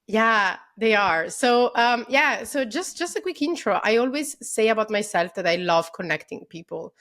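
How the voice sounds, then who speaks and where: A woman's voice: on the high side, expressive, normal speed, fairly bright tone. A woman in her thirties from Italy, with an Italian accent, talking as a podcast guest over a video call, eager and full of fillers. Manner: enthusiastic, many fillers like "um" and "like". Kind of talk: casual interview conversation.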